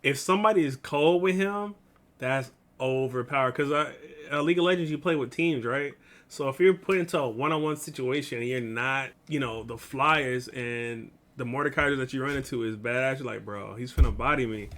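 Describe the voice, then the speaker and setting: A man's voice: medium pitch, a little fast, a bit bright. A man hosting a reaction video, talking informally in slang and repeating phrases for emphasis. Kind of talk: casual reaction commentary. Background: music.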